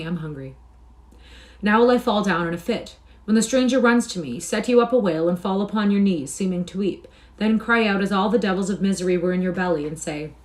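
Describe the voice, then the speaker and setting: A woman reading aloud and voicing a rough, scheming young male thief and beggar with a scoffing, swaggering manner. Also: mid-range voice, a little mellow, lightly acted. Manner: archaic swaggering speech, scoffing.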